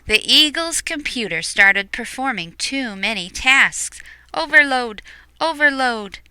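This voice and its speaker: A woman reading a picture book aloud expressively, explaining things to young listeners in hushed asides. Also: high voice, animated, slow pace, clean tone, no room sound.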